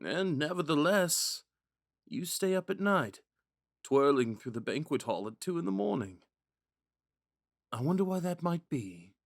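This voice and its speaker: Casting: male actor as shy, smitten young prince, gentle and hesitant, lightish pitch, animated intonation, intimate dry ASMR sound. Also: a little slow, creaky, pauses often, no room sound, full character voice. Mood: gentle, curious. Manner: soft-spoken, hesitant, stammering when flustered. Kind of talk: ASMR roleplay, scripted monologue to a listener.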